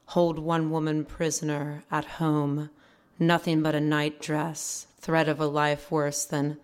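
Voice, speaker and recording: A woman's voice: sits low, completely flat delivery, slow pace, clear, resonant tone. An actress playing a knowing, worldly woman who taunts a naive girl in a condescending, insinuating way, in a live radio drama. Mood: taunting.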